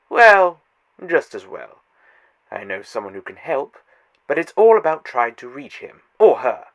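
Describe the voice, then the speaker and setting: A man voicing a taunting, godlike male trickster in a fan audio drama, theatrical and mocking. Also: a little high. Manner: theatrical, taunting, swinging loud to hushed.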